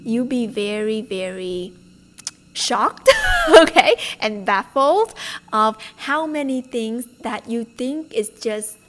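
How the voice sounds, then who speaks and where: A woman's voice: a little high, animated, takes it slowly, fairly bright tone, a little hiss or hum. A woman talks informally to her viewers. Her delivery is conversational and emphatic, the style of a motivational video host. She leans on rhetorical questions and small asides as she goes. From Vietnam. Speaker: spiritual self-development video host. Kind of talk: informal motivational talk to camera.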